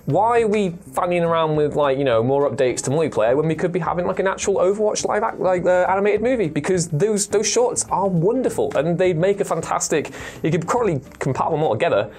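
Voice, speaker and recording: A man's voice: sits high, expressive, quick pace, a little mellow. A man chatting casually as a panellist, rambling through his opinions with enthusiasm and slang. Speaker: panellist on a gaming show.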